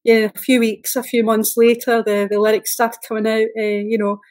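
A woman's voice: on the high side, level tone, normal speed, darkish tone. A Scottish woman talking casually as a guest, telling stories in a hesitant, meandering way. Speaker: special guest. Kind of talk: casual storytelling.

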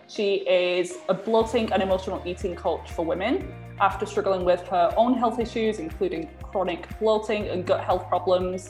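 Woman in her 20s, podcast host, chatty, echoey room, light music. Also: mid-range voice. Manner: clear scripted intro, then chatty with fillers. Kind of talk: podcast intro and interview chat.

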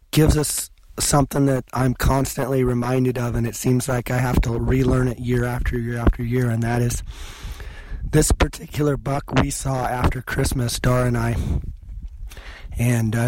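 A hoarse man who has lost his voice, hosting an outdoor podcast on a mountainside and drawing practical lessons from his story. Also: mid-range voice, level tone, normal speed, noisy background. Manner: instructive, recounting and drawing lessons. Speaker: hunting podcast host. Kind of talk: casual outdoor storytelling and advice.

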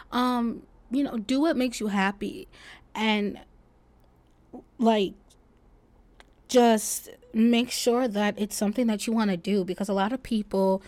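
A woman's voice: on the high side, a little mellow. A twenty-year-old woman hosting a solo podcast, talking casually and reflectively in an informal, rambling way. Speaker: podcast host.

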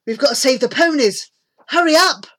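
A woman voicing a bold, strong older boy in a storybook, talking in a brisk, commanding way. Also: sits high, voice pushed up, natural voice. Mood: determined.

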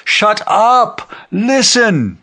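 A man voicing a loud, combative man in an audiobook, bellowing blunt challenges. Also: a little high, very animated, unhurried, husky, pitched above the performer's own voice, exaggerated voice. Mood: furious. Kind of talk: audiobook character voice.

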